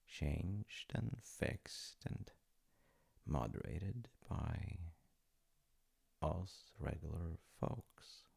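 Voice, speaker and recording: Super deep husky dad voice, guy telling a cozy bedtime story, slow and playful, pitch moves around a lot. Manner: unhurried, halting, with pauses and soft asides. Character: gentle, playful dad telling his child a folk tale. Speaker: audio roleplay voice actor playing a dad. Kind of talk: intimate comforting roleplay, improvised-sounding bedtime storytelling.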